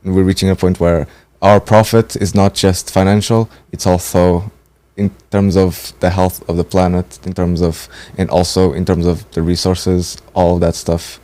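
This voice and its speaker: A man's voice: low voice, little pitch movement, a little slow, few pauses, warm tone, no echo. A man in his twenties from Portugal, speaking fluently and thoughtfully in a casual conversation. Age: 20s. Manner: fluent and thoughtful, building longer sentences. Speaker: training participant.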